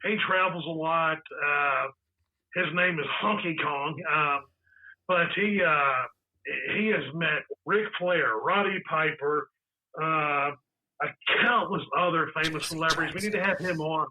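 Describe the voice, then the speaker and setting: A raspy-voiced man chatting excitedly on a casual podcast, repeating words as he rushes on. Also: fairly high pitch. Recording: tinny phone-line sound.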